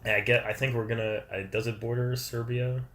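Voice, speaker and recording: A man's voice: mid-range voice, level tone, a little fast, fairly bright tone, a little hiss or hum. An American man co-hosting a casual podcast chat, joking around loosely and swearing freely. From United States. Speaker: podcast co-host.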